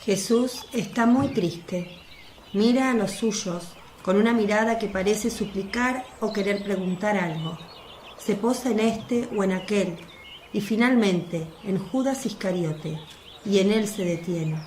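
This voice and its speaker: A woman narrates a religious radio play at a middle pitch. Her voice rings with echo, and faint birdsong and outdoor ambience sit behind her. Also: measured pace, a little mellow. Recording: echoey, faint noise with birdsong.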